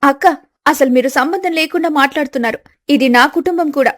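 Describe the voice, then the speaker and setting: A woman voicing a gentle, innocent younger daughter-in-law, pleading and apologetic, in a village family story. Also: high voice, a little fast, dry recording, lightly acted. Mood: defensive.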